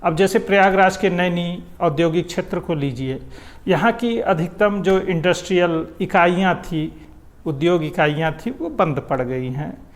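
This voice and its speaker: A man's voice: high-pitched, measured pace. A man giving an explanatory, didactic opinion monologue as a commentator on public affairs. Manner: explanatory, didactic, rhetorical questions. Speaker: political commentator.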